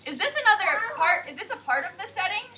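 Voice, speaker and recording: Young teacher lady doing a sing-song read aloud, bright voice, faint classroom hum. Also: very high-pitched, quick pace, distant mic. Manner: expressive, sing-song storytelling.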